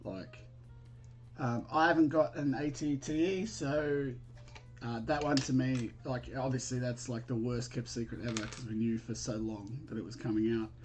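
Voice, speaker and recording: Australian man with a higher-than-average voice. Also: steady tone, moderate pace, a little mellow, faint music behind, low-quality recording. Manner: hesitant, rambling, thinking aloud; friendly, informal greetings to viewers. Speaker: live stream host. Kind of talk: casual chat while building, answering viewers.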